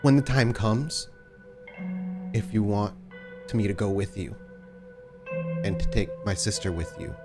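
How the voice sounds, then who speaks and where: A man playing an anxious, devoted brother who speaks earnestly in halting, stammering starts. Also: on the low side, animated, loud music behind the voice. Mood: anxious, pleading.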